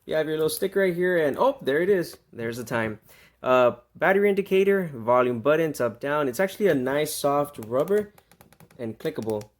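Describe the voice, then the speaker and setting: A man giving a casual, off-the-cuff product review, informal and a little halting as he lists features. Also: fairly high pitch, expressive. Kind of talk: casual unboxing review commentary.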